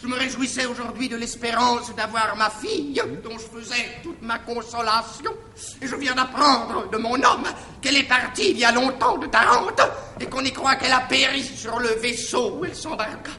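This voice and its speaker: A man's voice: very high-pitched, normal speed, pushed and bright, slight background noise, full character voice. An actor playing an indignant, blustering old miserly father in a classical French stage comedy, with exclamatory declamation. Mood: lamenting. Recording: roomy 1960s theatre stage recording.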